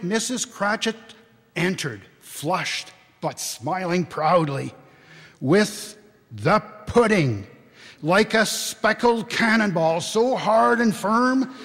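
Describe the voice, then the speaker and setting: Slow, theatrical man reading in a reverberant hall. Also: sits high. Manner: theatrical, expressive, deliberate phrasing. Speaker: reader at a dramatic reading. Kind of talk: dramatic storybook reading to a live audience. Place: large church, live public reading.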